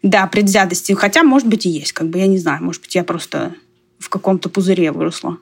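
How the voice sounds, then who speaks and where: A woman's voice: on the low side, fast, gravelly, breathy edge. A woman from Russia talking casually in a conversation, chatty and digressive, often laughing as she talks.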